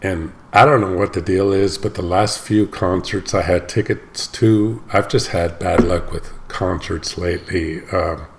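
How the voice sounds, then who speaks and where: A man hosting his own reaction channel, chatting casually to camera with a slightly hoarse voice and a rambling way of talking, often stopping to correct himself. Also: low-pitched, steady tone, measured pace, hardly any pauses. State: slightly hoarse, recovering from illness.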